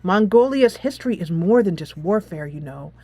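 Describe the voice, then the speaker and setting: A woman voicing a shy, gentle teenage schoolboy from Mongolia in a soft, hesitant, subdued way for a game. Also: on the low side, animated, moderate pace, no room sound, faint music behind. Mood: shy, reluctant.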